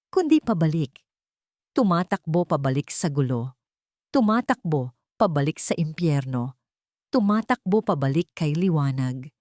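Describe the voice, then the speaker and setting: A woman narrates a romance story from a script in a dramatic style. Her voice sits a little low. The recording is very dry, with no room sound. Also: warm tone, no echo.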